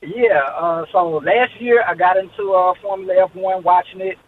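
Hesitant high-voiced man on noisy phone line. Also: steady tone, normal speed. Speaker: radio show caller. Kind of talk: casual phone-in chat. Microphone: telephone.

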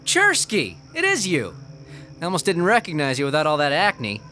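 An actor playing a smug, breezy former high school bully in a horror radio drama, with an evasive streak. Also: male voice, huge pitch swings, moderate pace, sharp tone, soft background music, heavily acted. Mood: surprised, breezy.

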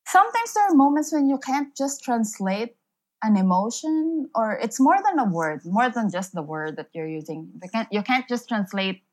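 A woman from the Philippines, a writer whose first language is Filipino, speaking English in a relaxed interview with many pauses and soft laughs. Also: mid-range voice. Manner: thoughtful, many ums, laughs often. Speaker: guest writer. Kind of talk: casual interview chat.

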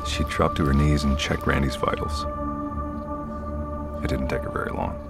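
An actor playing a grave male storyteller, giving measured, somber first-person narration in an audio drama. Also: extremely low pitch, flat delivery, vocal fry. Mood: somber, uneasy. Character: grave male storyteller recalling a disturbing morning. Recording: music behind the voice.

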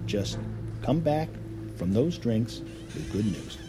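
A man's voice: medium pitch, sing-song, slow pace, over loud music. An actor playing a smug, manipulative male boss in an audio drama, talking in a glib, domineering way. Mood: pressuring. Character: Alan, smug, pressuring senior male boss. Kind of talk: naturalistic audio drama acting.

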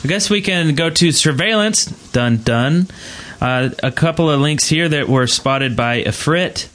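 A man's voice: on the high side, unhurried, fairly bright tone. Rambling man bantering on a gaming podcast. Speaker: podcast host.

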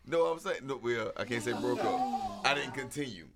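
A man's voice: mid-range voice, animated, brisk, a loud crowd. A man in a casual group chat who laughs a lot and hesitates when he is put on the spot.

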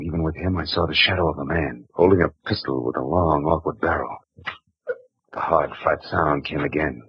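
An actor playing a wisecracking hard-boiled private detective who also narrates, in a 1940s radio drama with a dull old broadcast sound. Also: male voice, very deep voice. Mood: narrating. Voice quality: husky, clipped, tough-talking. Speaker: narrator and lead.

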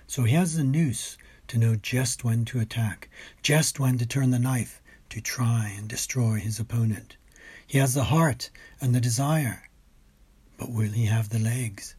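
A man's voice: mid-range voice, warm tone, slightly rough. A man reading a scripted sports report in a literary, dramatic storytelling manner. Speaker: food, wine and travel writer. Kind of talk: scripted narration of cycling race report.

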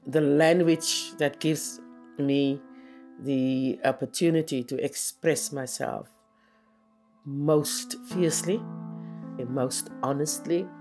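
Slow, reflective man. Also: a little high. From South Africa. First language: Afrikaans. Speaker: poet. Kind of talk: documentary interview.

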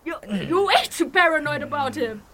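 A woman's voice: sits high, expressive, normal speed, a bit bright. A woman playing a sarcastic, exasperated young female outsider in a fantasy audio drama. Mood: breathless, indignant.

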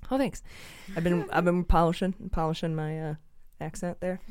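A woman co-hosting a casual political podcast, sarcastic and quick to laugh, often restarting her sentences. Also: on the low side, little pitch movement, a little mellow, clear, resonant tone, no room sound. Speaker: podcast co-host.

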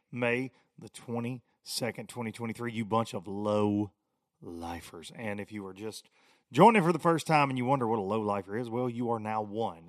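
A hoarse man in his forties with a Tennessee Southern accent, hosting a casual podcast in a folksy, rowdy way with loud bursts. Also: on the low side, no room sound, clean studio sound. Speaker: podcast host. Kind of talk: casual solo podcast monologue.

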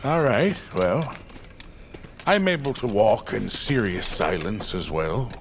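An actor playing a gruff, kindly older workmate in a fantasy audio drama, folksy, chiding and halting. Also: male voice, lowish voice, lively intonation, slight background noise. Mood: gruff concern. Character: gruff, kindly old goat-folk workmate, watchful and fussing. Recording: loud music behind the voice. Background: dramatic music.

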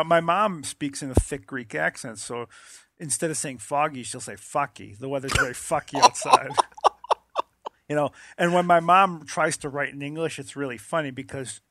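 A man co-hosting a casual podcast, joking around and laughing readily in quick banter. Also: a little high, noisy background. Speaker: podcast co-host. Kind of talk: casual chat.

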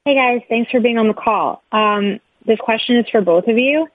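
Husky woman over a phone line. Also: fairly high pitch, moderate pace, no room sound. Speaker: sports reporter. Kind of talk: asking a press question. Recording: dry, tinny conference phone line.

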